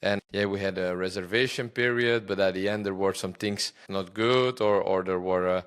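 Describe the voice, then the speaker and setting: A man's voice: deep voice, fairly even intonation, moderate pace, fairly bright tone, smooth. A man from Belgium with a Belgian Dutch accent, hosting a podcast and chatting with hesitant, non-native phrasing. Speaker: podcast host. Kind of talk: podcast interview, casual chat.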